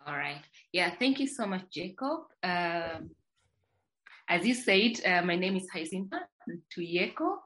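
A woman's voice: on the low side, sing-song, measured pace, fairly bright tone. A woman from Tanzania, a company founder, telling her life story as a podcast guest in a reflective way, often restarting her sentences. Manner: reflective, many restarts and fillers. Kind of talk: casual interview.

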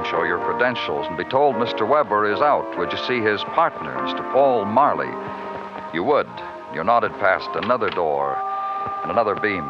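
An actor playing a police detective narrating his case in a clipped, wry, deliberate way, in an old radio crime drama. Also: lowish voice, close to an everyday voice. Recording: muffled old radio recording with music.

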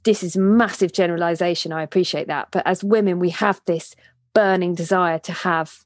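A woman's voice: fairly low pitch, fairly even intonation, moderate pace, no echo, studio quality. A woman in her thirties co-hosting a podcast, talking in a casual, heartfelt and reflective way that is full of restarts. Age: 30s. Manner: reflective, many restarts and trailing phrases. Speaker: podcast co-host.

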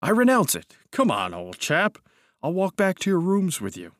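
A man's voice: mid-range voice, big pitch swings, moderate pace, no echo, studio quality, close to an everyday voice. A man voicing a boyish, easygoing soldier in a storybook reading, with a kindly, soothing, placating manner. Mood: startled, placating. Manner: genial, placating, old-chap familiarity.

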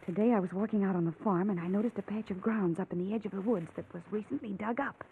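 A woman's voice: medium pitch, fast, close to an everyday voice. An actress playing a desperate, earnest young farm woman in a 1940s radio drama, pleading urgently for help. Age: young adult. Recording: narrow-band old radio, faint noise.

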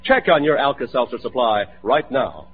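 A man's voice: medium pitch, animated, normal speed, breathy edge. An American man announcing a commercial in 1940s radio style, with a hearty, persuasive sales delivery. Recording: tinny, thin vintage radio recording.

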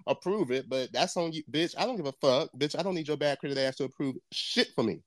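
A man's voice: fairly high pitch, fast, no room sound. Sassy, blunt man, dry close sound. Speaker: podcast co-host. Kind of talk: casual group chat.

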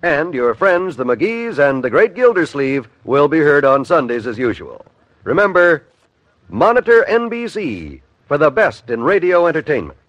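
An American man announces in scripted 1950s radio style, his fairly high voice lively in pitch. The old recording is dry and dull, with faint music behind. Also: moderate pace, a little hiss or hum.

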